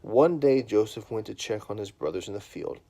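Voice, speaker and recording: Relaxed dad, 30s, chatty with lots of ums, then reads a kids' story. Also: male voice, on the low side, fairly quick. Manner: relaxed, rambling, lots of fillers. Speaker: dad hosting a bedtime story livestream. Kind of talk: casual chat and reading aloud.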